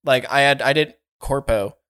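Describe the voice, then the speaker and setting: A man's voice: mid-range voice, moderate pace, a bit bright, clear, resonant tone. A man chatting casually about games, easygoing and thinking aloud with fillers. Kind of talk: casual chat.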